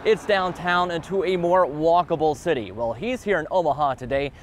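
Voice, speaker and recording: Higher-voiced American male reporter outdoors. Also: normal speed. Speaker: TV news reporter. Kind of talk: scripted field report. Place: outdoors in a city district. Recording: faint outdoor street noise.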